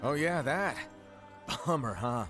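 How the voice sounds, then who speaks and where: A man's voice: mid-range voice, expressive, slow, fairly bright tone. An actor playing a playful, mischievous male master in a game cutscene, breezy and offhand. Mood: teasing. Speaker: game character. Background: game music.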